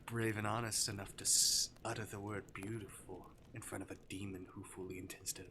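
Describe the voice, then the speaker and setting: A man's voice: lowish voice, little pitch movement, fairly quick, lightly acted. A man voicing a playful, theatrical arctic demon from a visual novel, with mock-courteous, teasing menace. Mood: amused, flustered. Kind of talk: visual novel character voice acting. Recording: clear background noise.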